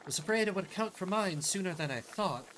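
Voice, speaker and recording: Game role: gentle, devout former nun, acted by a man. Higher pitch, solemn, measured, expressive intonation. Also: a little hiss or hum. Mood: solemn, penitent.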